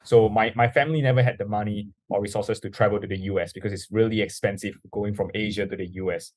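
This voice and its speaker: Earnest man, low dry voice. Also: steady tone, fast, darkish tone, no room sound. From Singapore. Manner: earnest, filler-heavy, frequent "you know". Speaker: guest, startup founder. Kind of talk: podcast interview storytelling.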